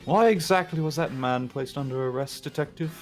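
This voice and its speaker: A man playing a dry, sardonic prosecutor in a video game fan dub, speaking in a measured, formal, deadpan way. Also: mid-range voice, soft background music, close to an everyday voice. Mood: dry sarcasm.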